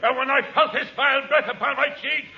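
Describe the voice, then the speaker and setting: An actor playing a deranged jail cellmate in 1940s radio comedy, melodramatic and brooding, erupting into murderous rage. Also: male voice, very high-pitched, steady tone, gravelly. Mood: menacing, crazed. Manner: slow, melodramatic, menacing build-up. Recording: dull, noisy 1940s radio recording.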